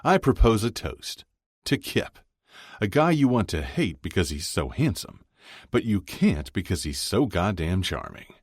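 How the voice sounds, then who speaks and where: A man voicing a big, jovial man with a hearty, commanding, booming voice in an audiobook. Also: animated, moderate pace, dry recording, studio quality.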